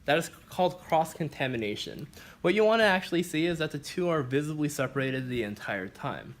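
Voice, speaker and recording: A man's voice: a little high, animated, fairly quick. A man teaching as a barbering instructor, explaining a practical demonstration step by step.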